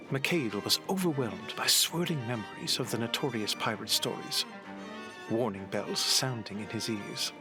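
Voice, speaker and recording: A man narrating a scripted adventure story as its storyteller. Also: medium pitch.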